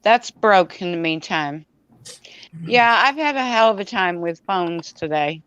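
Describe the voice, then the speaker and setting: A woman's voice: lowish voice, lively intonation, a little slow, fairly bright tone, clean tone, lo-fi sound. A woman chatting on a casual livestream, sounding exasperated.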